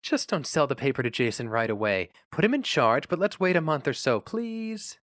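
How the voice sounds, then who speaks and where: A man voicing a worried young wife who speaks hesitantly, affectionately and with gentle pleading. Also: fairly high pitch, big pitch swings, moderate pace, dry recording, clean studio sound, voice pushed up.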